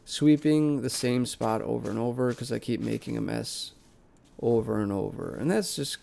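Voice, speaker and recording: Sick, nasal man with gravelly voice. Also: medium pitch, moderate pace. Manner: relaxed, rambling, conversational. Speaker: plant channel host. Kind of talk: casual voice-over vlog narration. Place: basement plant room.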